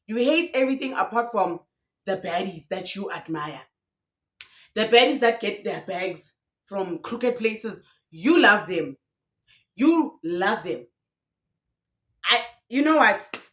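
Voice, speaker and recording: A woman's voice: medium pitch, roomy sound, lo-fi sound. A young woman YouTuber, ranting passionately in casual commentary, recorded alone in a room. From South Africa. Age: young adult. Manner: passionate, ranting, mixing in local slang.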